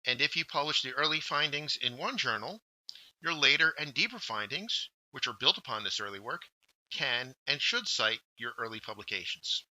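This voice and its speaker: A man presenting a scripted instructional workshop, with deliberate, emphatic phrasing. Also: mid-range voice, lively intonation, pushed and bright, no echo, low-quality recording. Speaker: workshop presenter, journal editor.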